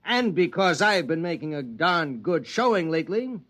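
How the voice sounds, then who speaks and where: An actor playing a pompous, bullying young husband who lectures in the manner of 1950s radio drama. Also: male voice, fairly high pitch, sing-song, moderate pace, fairly bright tone. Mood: stern, condescending. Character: domineering, status-obsessed, bullying young husband.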